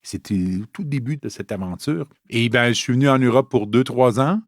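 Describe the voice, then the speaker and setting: A man's voice: mid-range voice, a little fast, no room sound, studio quality. A man in his fifties with a Canadian French accent, a Scrum trainer telling his story casually with fillers in an interview.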